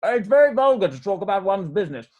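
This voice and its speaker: Man playing young dandy, airy, mock-serious, Victorian comedy, lively swooping intonation. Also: high voice, fast, muffled recording. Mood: airy, flippant. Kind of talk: rehearsed reading of a Victorian stage comedy.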